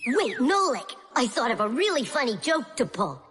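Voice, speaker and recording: A mischievous, eager little cartoon prankster. The voice is high and bouncy, in a noisy recording with long reverb. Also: female voice, very animated, moderate pace, fairly bright tone. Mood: eager, scheming. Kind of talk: animated cartoon voice acting.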